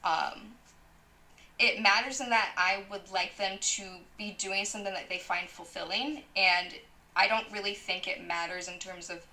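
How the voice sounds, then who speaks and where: A woman with a bright, clear tone, answering slowly and thoughtfully. She hesitates with small fillers as she thinks her answers through. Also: medium pitch, fairly even intonation, rough recording. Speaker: interview panelist.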